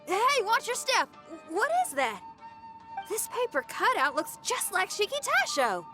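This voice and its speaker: A woman's voice: very high-pitched, big pitch swings, unhurried, over music, full character voice. A woman voicing a bold, upbeat young female rock musician in a video game, colloquial, punchy and enthusiastic. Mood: curious, alert. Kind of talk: animated video game voice acting.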